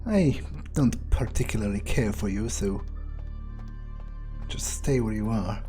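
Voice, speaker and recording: A player narrating a video game in character, thinking aloud in a sardonic way with pauses mid-sentence. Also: medium pitch, dark tone, faint music behind. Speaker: game player role-playing his character.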